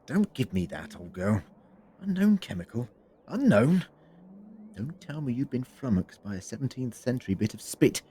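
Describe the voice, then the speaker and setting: Eccentric time-travelling scientist, male actor, mid-pitched, swinging animated delivery, muttering, faint noise behind. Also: lightly acted. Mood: puzzled, musing. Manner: muttering to himself, brisk, quizzical. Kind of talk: audio drama acting.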